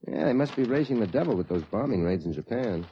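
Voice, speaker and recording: A man playing a genial, indulgent middle-aged father in 1950s radio drama style, hearty and folksy, with a husky voice. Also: fairly low pitch, expressive, fast talker, muffled recording, a little hiss or hum. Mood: musing.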